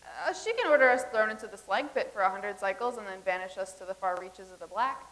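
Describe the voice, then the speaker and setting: A woman's voice: medium pitch, expressive, fast talker, a bit bright, natural voice. An actress playing a matter-of-fact woman from the far future in a staged comedy reading.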